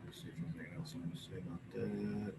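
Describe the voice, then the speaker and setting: A man presenting scientific slides informally, explaining in a halting, hesitant way with pauses. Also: low-pitched, flat delivery. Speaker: presenter at an astronomy symposium. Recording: clearly noisy recording with background ambience.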